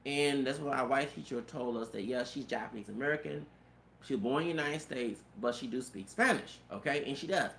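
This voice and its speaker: A man in his thirties with a feminine-sounding, gravelly voice rambles through a personal story. He stumbles over repeated words and curses. The recording has a roomy echo with faint background noise. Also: medium pitch, brisk, fairly bright tone. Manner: repetitive stammered restarts, profanity, frequent "you know what I'm saying".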